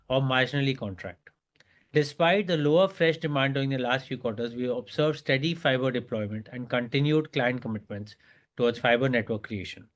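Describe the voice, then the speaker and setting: A man with an Indian accent and a mid-pitched voice presents as a company executive. He reads prepared business material formally, in the measured register of an earnings briefing. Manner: formal, scripted reading.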